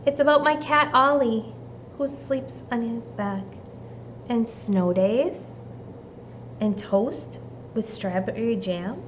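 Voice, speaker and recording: Slow-paced woman reading to children. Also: fairly high pitch, slight background noise. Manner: deliberate, simple phrasing addressed to young children. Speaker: teacher reading a storybook aloud to children. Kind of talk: expressive storybook read-aloud and simple instruction for kids.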